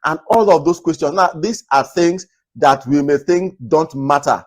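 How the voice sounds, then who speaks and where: Earnest Nigerian-born man, loud natural voice. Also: a little high, normal speed. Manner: earnest, repetitive, advising viewers. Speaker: host. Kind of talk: casual commentary to camera.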